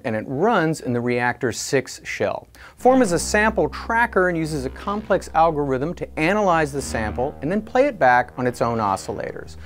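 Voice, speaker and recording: A man narrating a scripted product review in a clear, explanatory way. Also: on the high side.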